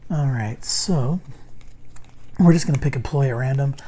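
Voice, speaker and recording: A man who is losing his voice hosts a casual unboxing, talking through game cards in a halting, rambling way full of ums and restarts. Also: mid-range voice, dark tone. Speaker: host reviewing a card game deck. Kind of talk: casual unboxing and card review.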